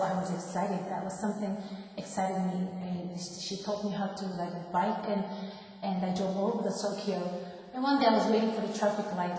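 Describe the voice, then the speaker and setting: Echoey Japanese-accented woman, halting speech. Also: mid-range voice, mellow. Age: 30s. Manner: halting, searching for words. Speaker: former professional boxer giving a testimony. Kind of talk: personal life-story talk. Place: large reverberant room. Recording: echoey reverberant hall, distant mic.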